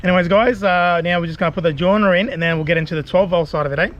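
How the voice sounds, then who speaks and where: A man's voice: high voice, very fast, muffled recording, slight background noise. A man giving a laid-back, self-deprecating hands-on DIY tutorial, chatting colloquially to his viewers. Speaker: vlogger presenting a DIY car install.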